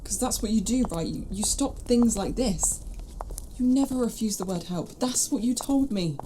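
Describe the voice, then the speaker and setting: A questioning, compassionate sidekick, played by a woman in a sci-fi audio drama. Her voice is mellow and fairly high with lively swings of pitch, over faint background noise. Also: normal speed, close to an everyday voice, rough recording. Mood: pleading. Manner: expressive, questioning.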